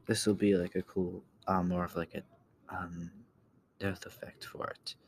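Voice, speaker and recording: A man with a low voice walks through a casual screen-recorded tutorial. He speaks slowly with little melody, halting and trailing off as he thinks aloud. There is background noise with keyboard clicks behind him. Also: level tone, creaky. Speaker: tutorial host.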